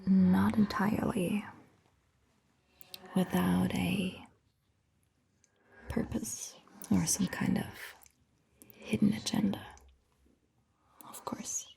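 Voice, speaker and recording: Woman playing a slow, whispering vampire doctor. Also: mid-range voice, level tone, exaggerated voice. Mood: calm, confiding. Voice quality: breathy, hushed, whispery. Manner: gentle, slow, reassuring, softly questioning. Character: caring, attentive female vampire doctor tending a patient. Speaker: ASMR performer. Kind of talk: soft-spoken ASMR roleplay, mostly whispered.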